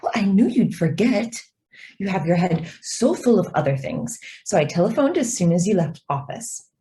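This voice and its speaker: An actress playing a polite young office stenographer, deferential yet evasive and quietly persistent, in a radio drama. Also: female voice, medium pitch, big pitch swings, normal speed, close to an everyday voice. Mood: calm, persistent. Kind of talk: stage-style radio drama acting.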